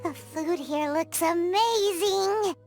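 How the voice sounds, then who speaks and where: A woman voicing a tiny childlike fairy companion in a game, squeaky and gushing. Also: slow pace, a little mellow, soft background music, exaggerated voice. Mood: excited. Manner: squeaky, gushing, third-person childish speech. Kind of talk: scripted game dialogue.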